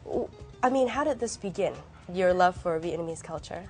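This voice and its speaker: A woman's voice: lowish voice, animated, normal speed, music in the background. A woman hosting a casual interview, a little sick, who laughs often and exclaims with delight. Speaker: talk show host.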